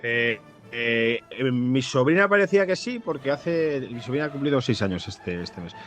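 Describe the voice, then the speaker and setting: A man's voice: medium pitch, animated, normal speed, a bit bright, soft background music. A man from Madrid with a Spain Spanish accent, chatting anecdotally as a guest, often hesitating and repeating his words. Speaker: podcast guest, journalist and screenwriter. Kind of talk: casual anecdotal chat.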